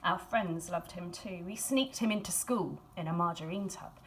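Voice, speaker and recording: A woman reads a children's storybook aloud expressively, in a measured storytelling manner with dramatic emphasis and hushed phrases. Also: on the low side, a little hiss or hum, rough recording. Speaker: school staff member reading a picture book aloud.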